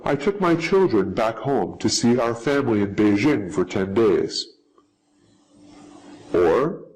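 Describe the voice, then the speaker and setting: A man reading model answers slowly and carefully in a scripted lesson. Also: medium pitch, a little mellow, a little hiss or hum, rough recording. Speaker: English teacher.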